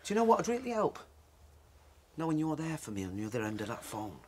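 An actor playing a glib, restless estranged husband in a radio drama, persuasive and sarcastic. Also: male voice, fairly high pitch, sing-song, fast talker, darkish tone, breathy edge. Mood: placating, defensive. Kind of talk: naturalistic radio drama acting.

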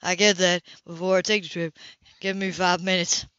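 A woman's voice: lowish voice, little pitch movement, normal speed, fairly bright tone, clear, resonant tone, no room sound, voice pushed down, lightly acted. A woman voicing a stubborn, cocky, hot-headed man in a romance audiobook, blunt and insistent. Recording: dull, lo-fi home recording.

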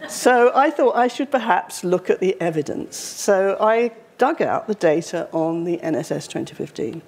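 A woman, giving a university public lecture in a conversational, wry way, her voice slightly croaky. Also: fairly low pitch, expressive, moderate pace, darkish tone. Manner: conversational, wry, with frequent fillers. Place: university lecture hall, indoors.

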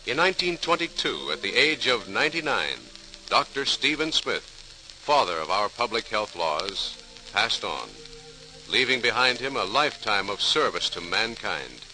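A man's voice: fairly low pitch, very animated, pushed and bright, faint music behind. A man with an American accent reading scripted narration as a 1940s radio announcer, formal and dramatic. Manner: formal, dramatic, measured phrasing. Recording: hissy, crackly 1940s radio recording.

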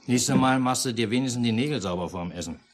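A man playing an even-tempered family father in a 1950s German radio drama, speaking in a dry, unhurried way. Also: medium pitch, natural voice. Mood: dry, unbothered. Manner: unhurried, dry, brushing questions aside. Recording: noisy 1950s mono radio recording.

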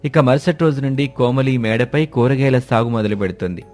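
A man narrating an animated moral story in a steady storytelling manner. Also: mid-range voice, fairly quick, faint music behind.

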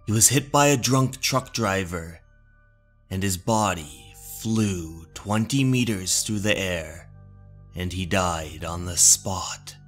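Slow, animated male horror narrator. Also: low voice, faint music behind. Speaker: narrator and channel host. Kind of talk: scripted horror story narration.

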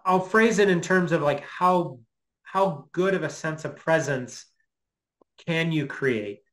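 A man in his fifties explaining informally as a lecturer on a video call from a small home office, halting and thinking aloud. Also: fairly high pitch, a bit bright, rough recording. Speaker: guest lecturer. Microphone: computer mic on a video call.

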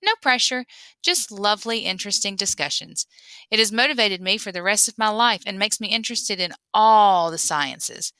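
Woman, bright crisp-edged tone, medium pitch, expressive read-aloud narration. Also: normal speed, no echo. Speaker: reader.

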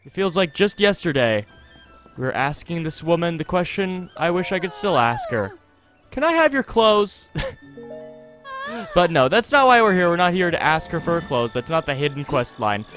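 Male playthrough host, casual and joking, mock-exasperated, lively high-ish voice, close mic. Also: normal speed, soft background music. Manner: wry, exaggerated exasperation, joking. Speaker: gaming video host. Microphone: close USB desktop microphone.

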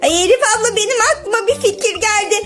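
A woman voicing a small, excitable, whiny child in a pleading children's play skit. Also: very high voice, fast, music in the background. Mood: urgent, coaxing.

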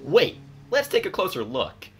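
Man acting a bright, animated sports commentator. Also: on the high side, moderate pace, soft background music. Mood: excited. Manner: announcer-like, animated. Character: excitable male sports commentator, jovial. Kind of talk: mock sports commentary in radio play.

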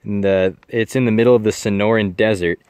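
A man's voice: fairly low pitch, brisk, clear, resonant tone, dry recording. A young man talking casually to viewers while hiking a desert canyon outdoors, filming himself with a camera held at arm's length. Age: young adult. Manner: relaxed, informal, explaining to viewers while hiking. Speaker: travel vlogger.

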